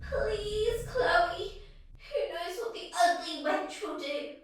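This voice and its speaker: A woman's voice: very high-pitched, expressive, slow, slight background noise, full character voice. A woman voicing a sinister living doll, a sweetly coaxing, murderous little girl in a horror radio drama. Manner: sing-song, coaxing, giggling.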